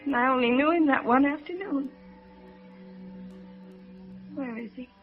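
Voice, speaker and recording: An actress playing a fragile, distraught young woman in a 1950s radio drama, with halting, dramatic delivery. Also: sits high. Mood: wistful, dreamy. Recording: dull vintage radio recording with music.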